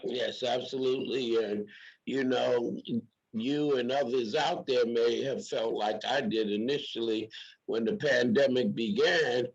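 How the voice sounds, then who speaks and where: Male host, husky, creaky voice, casual interviewing, emphatic repeated phrasing, slightly dull lo-fi audio. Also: medium pitch, steady tone, measured pace. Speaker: program host.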